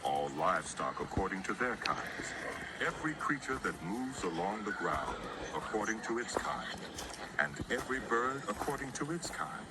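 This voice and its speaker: A man's voice: lowish voice, big pitch swings, moderate pace, heavy background noise. A man narrating scripture as a dramatized story in a solemn, theatrical manner. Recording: voice over prominent music.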